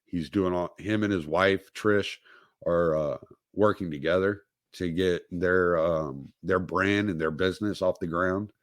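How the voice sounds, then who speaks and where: Slow, deep-voiced man rambling. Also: fairly even intonation, no echo, studio quality. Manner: rambling, halting, many fillers and restarts. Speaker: podcast host. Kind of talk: casual livestream monologue.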